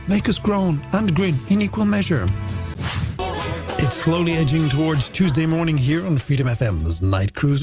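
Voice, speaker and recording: A middle-aged man, presenting a late-night music radio show in a playful, theatrical, polished way on a studio microphone. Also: fairly high pitch. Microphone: close studio broadcast microphone. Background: music bed.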